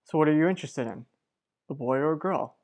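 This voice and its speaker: A kindly, steady husband from a vintage-style radio drama, acted by a woman. The voice sits low, and the delivery is measured, affectionate and gently probing. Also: lightly acted. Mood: measured, reflective. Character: mild, steady, kindly husband devoted to work. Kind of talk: old-time radio soap opera acting.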